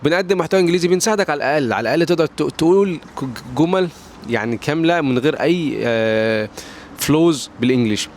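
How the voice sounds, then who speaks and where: Young Egyptian guy, Egyptian accent, very fast rambling vlog talk, faint traffic outside. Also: a little high, animated. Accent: Egyptian Arabic. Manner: rambling, many restarts and fillers, mixes in English words. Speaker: English pronunciation tiktoker. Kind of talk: casual advice vlog. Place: at home near a balcony door.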